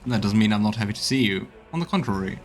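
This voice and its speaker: A man's voice: lowish voice, expressive, fast talker, lightly acted. A man voicing a frank, practical crusader scout in a fantasy game, speaking in a hearty, plain-spoken way. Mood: frank, glad. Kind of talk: voiced reading of fantasy game dialogue.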